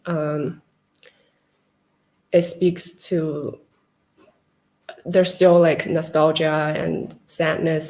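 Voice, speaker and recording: A woman's voice: deep voice, darkish tone. A woman filmmaker at a festival Q&A, musing hesitantly and searching for words with many fillers. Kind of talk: reflective panel answer. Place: festival screening venue.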